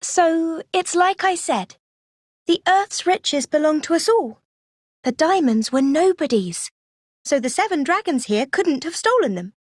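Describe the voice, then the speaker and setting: An actress playing a kindly young lady detective in an animated mystery game, gently questioning and persuading over music. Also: high-pitched, unhurried, dry recording, clean studio sound. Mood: kindly, assured. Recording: clear music behind the voice.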